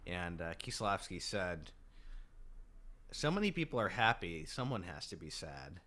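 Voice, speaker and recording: A man hosting a podcast film discussion, asking measured, thoughtful questions. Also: sits low, sing-song, pushed and bright.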